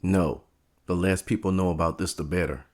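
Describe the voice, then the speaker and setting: A man's voice: sits low, steady tone, moderate pace, close to an everyday voice. A man playing a guarded, plain-spoken barbershop owner standing firm against mobsters in an audio drama. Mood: calm, resolute.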